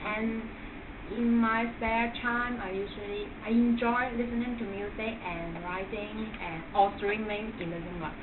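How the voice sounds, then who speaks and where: A woman in her twenties from southern China with a Chinese accent, introducing herself haltingly as a language student. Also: mid-range voice, steady tone. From Guangdong, China. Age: 20s. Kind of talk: informal classroom self-introduction. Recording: echoey, distant, muffled, noisy room recording.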